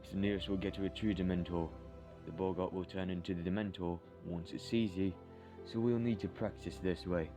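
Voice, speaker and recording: A man's voice: deep voice, steady tone, brisk, music in the background, lightly acted. A man playing a patient, grave wizard teacher in a fan audio drama. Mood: grave, instructive.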